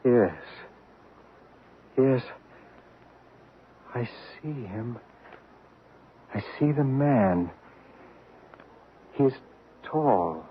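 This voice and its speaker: An actor playing an earnest, halting male spirit medium in an old radio mystery drama. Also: medium pitch, lively intonation, husky, full character voice. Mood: entranced. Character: hushed, earnest male spirit medium and photographer named David. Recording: noisy, phone-like old radio broadcast recording.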